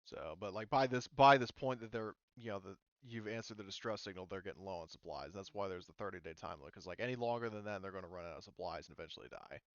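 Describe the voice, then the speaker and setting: A man running a tabletop game as game master in casual speech, often halting and thinking aloud mid-sentence. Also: low voice, fairly bright tone, no echo, dull audio.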